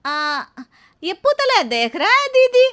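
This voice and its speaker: A woman voicing a shy, simple, skinny village woman in a cartoon, with a naive, drawn-out delivery. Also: very high-pitched, sing-song, fairly bright tone, voice pushed up, exaggerated voice. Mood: bashful wonder.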